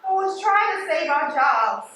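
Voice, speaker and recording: Stage drama, female: fed-up, indignant supervisor, emphatic and drawn-out, distant and very echoey. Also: high voice, sing-song, sharp tone, a little hiss or hum, full character voice. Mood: guarded. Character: bitter, fed-up female post office supervisor, betrayed and indignant. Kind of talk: stage play acting. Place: theatre stage, recorded from afar.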